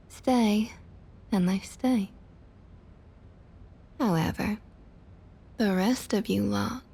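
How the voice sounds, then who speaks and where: A woman acting as a smug female vampire of high rank speaks in a Southern US drawl for an intimate ASMR roleplay. Her voice is mellow and husky, often breathy, with gravelly fry at the edges. She coaxes and condescends without any hurry. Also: full character voice. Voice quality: soft, breathy, slightly husky. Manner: unhurried, coaxing, condescending sweet talk.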